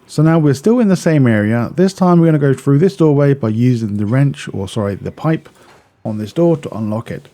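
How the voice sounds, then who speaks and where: A man's voice: medium pitch, lively intonation, a little fast, mellow. A man narrating a video game walkthrough in a casual, instructional way, explaining step by step and often repeating or correcting himself.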